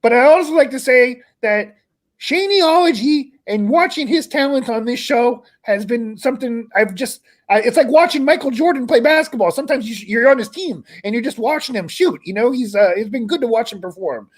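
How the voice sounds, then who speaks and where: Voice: medium pitch, expressive, normal speed, natural voice. A performer voicing a sentimental, hard-living, boastful frog puppet in rambling, improvised livestream chat. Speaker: co-host of an online puppet comedy show.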